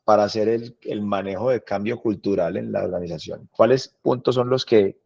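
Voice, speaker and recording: Man asking halting interview questions. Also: on the low side, gravelly, warm tone. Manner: halting, rephrases his questions. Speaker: podcast host. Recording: dull lo-fi sound.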